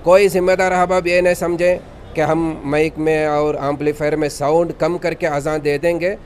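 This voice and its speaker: A man's voice: fairly high pitch, level tone, moderate pace, darkish tone, slight background noise. A man, an imam making a formal, devout spoken statement to a news camera. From Karnataka, India. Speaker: imam and khatib.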